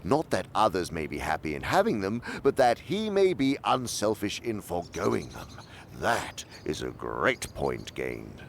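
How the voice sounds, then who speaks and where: A man's voice: low-pitched, huge pitch swings, a little slow, creaky, a little hiss or hum, full character voice. A man playing a suave, pompous senior demon mentor in a radio drama, lecturing theatrically and with relish. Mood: sly, instructive.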